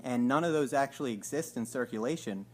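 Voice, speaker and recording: A man in his forties at home, asking slow, hesitant, mock-innocent questions on a recorded phone call. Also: mid-range voice, darkish tone, soft background music. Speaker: caller. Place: home living room by a window.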